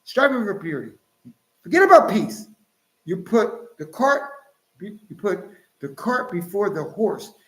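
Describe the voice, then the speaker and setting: A man's voice: high voice, big pitch swings, unhurried, reverberant, poor audio. A man preaching and reading scripture in a lecturing, emphatic way, now and then jumping into mocking voices. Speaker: Hebrew Israelite teacher.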